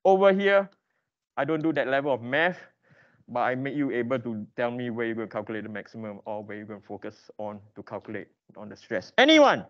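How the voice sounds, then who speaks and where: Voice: mid-range voice, animated, fairly quick, highs cut off. An engineering lecturer teaching interactively in a classroom, quizzing students with emphatic, repeated questions and prodding them for answers.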